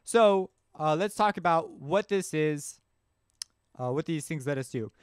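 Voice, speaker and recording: A man narrating a casual software tutorial, talking informally as he thinks aloud. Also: a little high, animated, measured pace, smooth, no echo, clean studio sound. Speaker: tutorial host. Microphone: close mic screencast voice-over.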